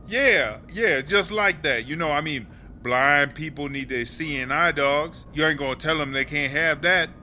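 A man playing a bright-voiced brash woman inmate. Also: mid-range voice, slight background noise, natural voice. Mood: earnest, wistful. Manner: slangy, swearing, emphatic drawn-out talk. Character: brash streetwise female prison inmate, Chartreuse. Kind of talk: comedy audio drama acting.

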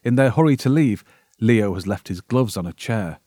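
A man with a fairly low voice narrates from a script. He presents in measured sentences, telling a story to listeners. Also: animated, dark tone.

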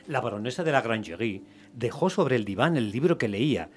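A man's voice: medium pitch, expressive, a little fast, slightly rough. A man narrating a period story for radio theatre in a dramatic, scripted style. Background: music.

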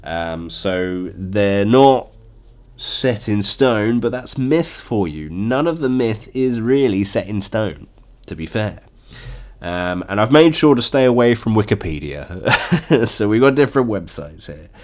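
A man's voice: on the low side, animated, measured pace, darkish tone. A man hosting a casual podcast with a blocked nose from a cold, chatty and joking, chuckling often. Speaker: podcast host. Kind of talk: casual solo podcast chat.

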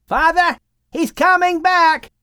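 A man voicing an eager, excitable young son who shouts his news. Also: high-pitched, takes it slowly, no room sound, studio quality, pitched above the performer's own voice, heavily acted. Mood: excited.

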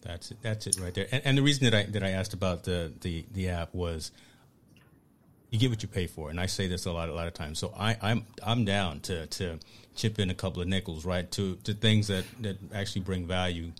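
Low-voiced guy hosting a podcast, enthusiastic, repeats himself a lot. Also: brisk. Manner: enthusiastic, repeats words as he talks. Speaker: podcast host. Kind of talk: casual interview talk.

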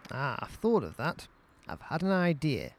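A man's voice: fairly high pitch, wildly swooping pitch, normal speed, a little hiss or hum. A man playing a cocky teenage schemer with a Stoke accent, full of comic-book bravado. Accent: Stoke-on-Trent. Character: cocky young teenage boy Pete, schemer.

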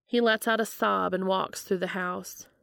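A woman's voice: mid-range voice, steady tone, measured pace, vocal fry, fairly bright tone, no room sound, studio quality. A woman reading scripted fiction aloud as an audiobook narrator. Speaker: fan fiction audiobook reader.

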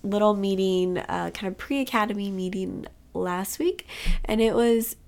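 A woman hosts a podcast while fighting a cold, her voice rough with creak. She stays upbeat and chatty, with frequent little fillers as she announces what comes next. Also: mid-range voice, measured pace, a little mellow. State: has a cold, voice strained. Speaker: podcast host.

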